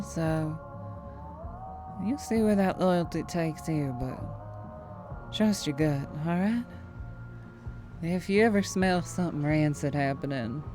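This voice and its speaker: Woman playing a Southern vampire trucker, low-pitched, very slow teasing drawl, sweetly menacing, ASMR roleplay, music behind. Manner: slow, teasing drawl with pet names and chuckles. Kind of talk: ASMR roleplay, intimate scripted acting.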